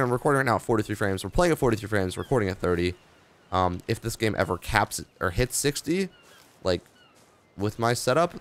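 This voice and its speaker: Young man, hoarse and tired, gravelly, lowish voice, casual rambling gameplay commentary. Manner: rambling, offhand, reading patch notes aloud with asides. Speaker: gaming video host.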